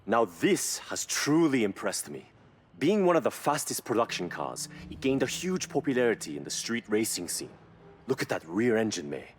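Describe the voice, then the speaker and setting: A man playing a knowledgeable car expert in a video game, explaining in a measured, admiring way. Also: mid-range voice, very animated, slight background noise, lightly acted. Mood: impressed. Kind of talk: scripted video game dialogue.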